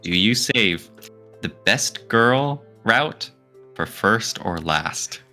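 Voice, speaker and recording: A man's voice: lowish voice, lively intonation, very slow, bright, forceful tone, faint music behind. A man co-hosting a podcast in casual debate style, speaking emphatically and arguing playfully. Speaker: podcast co-host.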